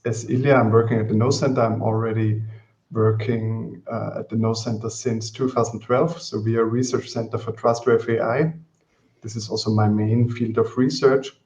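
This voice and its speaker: A man speaks as a guest on an online panel. His voice is slightly low with little melodic movement, and the video-call audio is somewhat dull. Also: a little mellow. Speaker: research manager, panel guest. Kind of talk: self-introduction on an online panel. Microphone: headset on a video call.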